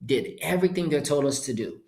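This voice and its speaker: A middle-aged man from Haiti, a Creole speaker, gives an informal, upbeat motivational webinar pitch in short punchy phrases. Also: a little fast, creaky, darkish tone. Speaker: entrepreneur hosting an online presentation. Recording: roomy, echoing sound.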